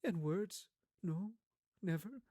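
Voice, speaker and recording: A man voicing a fair young woman, gentle and sorrowful yet firm, speaking softly and formally in a dramatized storybook reading. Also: sits high, expressive, takes it slowly, breathy edge, no echo, clean studio sound, voice pushed up, heavily acted. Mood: earnest, resigned. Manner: soft, earnest, formal phrasing.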